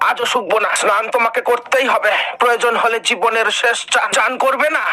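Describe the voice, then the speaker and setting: A pushy cartoon big brother, played by a man, with an extremely high, sharp voice. He scolds and insists, over faintly noisy audio. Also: steady tone, fairly quick, full character voice. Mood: threatening. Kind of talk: comedy cartoon dubbing.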